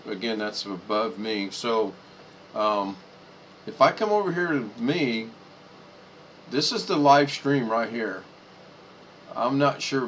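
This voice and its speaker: A man's voice: mid-range voice, a little hiss or hum, low-quality recording. A man hosting a casual video chat, talking easily while he works through the screen. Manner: easygoing, talks while working the screen.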